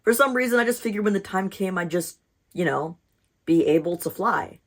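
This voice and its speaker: A woman's voice: mid-range voice, lively intonation, normal speed, lightly acted. Woman reading as an eager, earnest storybook moose. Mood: sheepish, hopeful. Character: eager, earnest, cheerful male moose job applicant. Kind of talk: storybook read-aloud.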